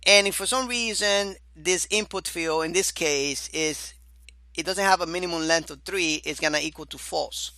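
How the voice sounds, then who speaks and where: Bright, dry-voiced man teaching code. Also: fairly high pitch, moderate pace, dry recording. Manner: casual, repeats "all right" and "my dear student". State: hoarse, losing his voice. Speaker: programming course instructor. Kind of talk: screen-recorded coding lecture.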